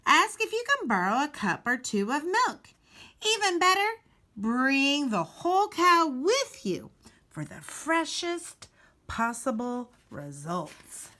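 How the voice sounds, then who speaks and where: Animated, high-voiced woman reading a storybook. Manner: deliberate, dramatic emphasis and pauses. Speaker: teacher reading a picture book aloud. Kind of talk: expressive children's storybook read-aloud.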